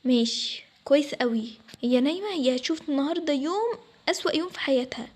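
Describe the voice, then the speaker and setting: A woman's voice: sits high, animated, quick pace, a little mellow. A woman playing a scornful, scolding mother with an Egyptian accent in an acted family story. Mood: stern, annoyed. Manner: scolding, dismissive. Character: harsh, scornful mother who favours one daughter.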